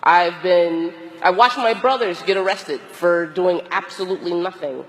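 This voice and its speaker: A hoarse, tired woman priest with a cold gives a slow, deliberate sermon with long pauses. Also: on the low side, big-room sound, rough recording. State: hoarse, losing her voice from a cold; exhausted. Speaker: Episcopal priest. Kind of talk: reflective sermon.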